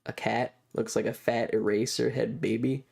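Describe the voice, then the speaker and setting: A man streamer talking casually and rambling through his material, halting often with stretched pauses. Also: medium pitch, flat delivery, vocal fry, darkish tone, breathy edge. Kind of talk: casual livestream chat over trip photos and videos.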